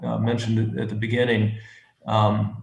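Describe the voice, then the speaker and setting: A man chairing a public review hearing, speaking haltingly with many fillers and restarts. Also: on the low side, monotone, vocal fry. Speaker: commission chair. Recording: echoey room sound.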